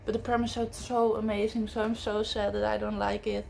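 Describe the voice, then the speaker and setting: A woman chatting about books in English, which is not her first language, often pausing with fillers and correcting herself mid-sentence. Her voice has a light gravelly fry at mid pitch, and a faint hiss sits under the recording. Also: little pitch movement, a little mellow. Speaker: book vlogger. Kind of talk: casual book review chat.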